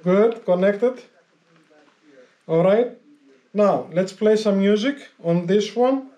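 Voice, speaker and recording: A man with a high-pitched voice talks through a casual hands-on tutorial. He explains step by step, haltingly, often repeating his instructions as he goes. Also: mellow, muffled recording. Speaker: motorcycle gear vlogger giving a tutorial.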